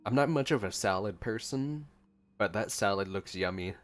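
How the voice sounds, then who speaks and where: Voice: low-pitched, lively intonation, moderate pace, soft background music. A gaming streamer giving casual, reactive gameplay commentary.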